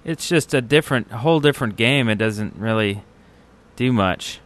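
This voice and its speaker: A man's voice: medium pitch, normal speed. A man co-hosting a podcast in a casual, conversational style. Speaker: podcast co-host. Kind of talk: casual chat.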